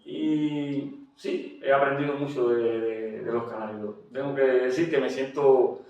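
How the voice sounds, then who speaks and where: A man's voice: mid-range voice, steady tone, a little slow, darkish tone, heavy reverb, recorded from a distance, dull audio. A man with a Cuban Spanish accent giving his testimony as an interview guest, speaking hesitantly with many fillers and restarts. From Cuba. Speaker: interview guest, general doctor.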